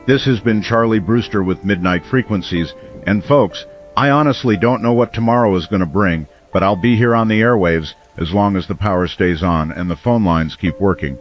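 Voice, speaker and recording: Man playing a deep-voiced grave radio host. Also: moderate pace, close to an everyday voice. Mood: grave, concerned. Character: grave male late-night small-town radio call-in host. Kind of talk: scripted radio drama monologue. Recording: dull band-limited radio sound, music behind.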